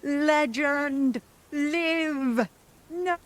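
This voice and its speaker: A woman's voice: high-pitched, drawn-out words, voice pushed up. A woman voicing an ancient blind witch hag, bossy and quarrelsome, in an archaic, theatrical, declaiming manner for a storybook. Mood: solemn invocation.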